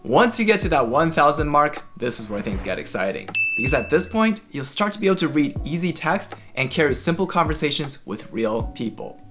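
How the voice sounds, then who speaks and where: A man's voice: a little high, sing-song, brisk, hardly any pauses, music in the background. A young man from the United States, whose was Chinese, giving a friendly, conversational scripted explanation for a language-learning video.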